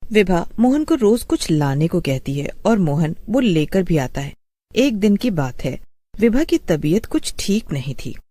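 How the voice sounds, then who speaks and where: Mellow woman storyteller with lively intonation. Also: lowish voice, unhurried, dry recording. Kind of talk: scripted horror story narration.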